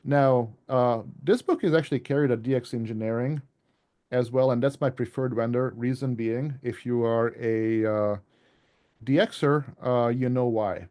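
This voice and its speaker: A man with a mellow, relaxed voice at a middling pitch gives an informal talk to a club. He comes across as an author chatting through his material, conversational and rambling, drifting into asides. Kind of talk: informal presentation to a radio club.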